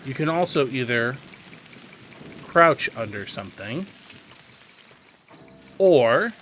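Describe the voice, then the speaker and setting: A man hosts a video game guide in a bright, forceful voice. His pitch moves freely as he explains things with emphatic opinions and instruction. Faint game ambience of rain sits behind him. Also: expressive, takes it slowly, a little hiss or hum. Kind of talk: casual game tutorial commentary.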